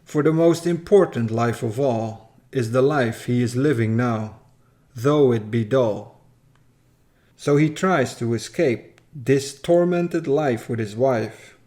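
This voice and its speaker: A man narrates a rhyming tale at a slow pace. His delivery is solemn and measured, with a mid-range pitch. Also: dark tone. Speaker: story reader.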